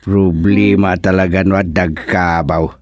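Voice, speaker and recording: A man's voice: low voice, little pitch movement, takes it slowly, darkish tone, no echo. A man playing a practical village man in an Ilocano radio comedy, talking in a matter-of-fact way. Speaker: radio drama character.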